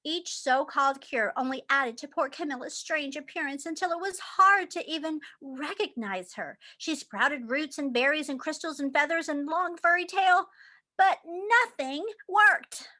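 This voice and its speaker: A woman reads a picture-book story aloud for young children. Her voice is high and bright, with expressive storytelling delivery. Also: unhurried. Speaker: read-aloud reader.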